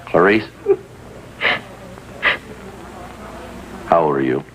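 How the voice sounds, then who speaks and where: A man's voice: very deep voice, animated, fast, a little hiss or hum. An American man playing a stoic, businesslike police detective in a 1950s drama, speaking in a clipped, matter-of-fact way. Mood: firm, dry. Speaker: police detective lieutenant and narrator. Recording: dull vintage TV soundtrack, music behind.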